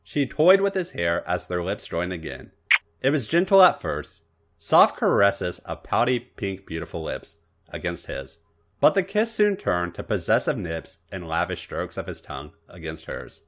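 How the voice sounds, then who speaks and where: A man's voice: low voice, big pitch swings, moderate pace, fairly bright tone. A man narrating erotic fan fiction for a podcast in a theatrical, dramatic style. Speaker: podcast story reader.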